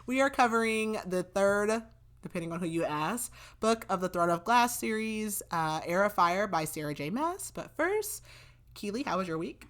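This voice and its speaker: A woman's voice: mid-range voice, expressive, normal speed, a bit bright. A woman co-hosting a book podcast in casual chat, laughing often and trailing off mid-sentence. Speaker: podcast co-host.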